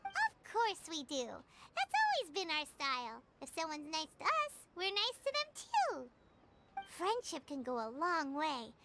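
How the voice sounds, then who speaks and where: A woman's voice: very high-pitched, huge pitch swings, measured pace, music in the background, heavily acted. A woman voicing a tiny, cheerful childlike fairy companion in an anime game, loyal and exclamatory. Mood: reassuring. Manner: exclamatory, talks of self in third person.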